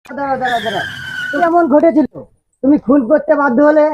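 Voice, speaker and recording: Man as a very fast, squeaky-high cartoon reporter. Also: darkish tone, highs cut off, slight background noise. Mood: cheeky. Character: cheeky, jittery male TV field reporter. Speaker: field reporter. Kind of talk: parody live news report in a comedy cartoon.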